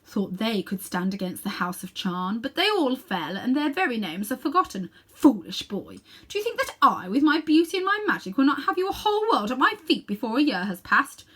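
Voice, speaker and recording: A woman's voice: fairly high pitch, very animated, moderate pace, few pauses, fairly bright tone, slightly rough, pitched above the performer's own voice, heavily acted. A woman reading a storybook as an imperious, pompous evil witch queen who commands with grand contempt. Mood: contemptuous.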